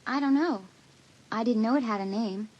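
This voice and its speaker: A woman's voice: a little high, normal speed, darkish tone, lo-fi sound, lightly acted. A woman playing an uneasy, moody teenage girl in a dramatised audiobook, with a hesitant, defensive way of talking. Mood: shy, friendly.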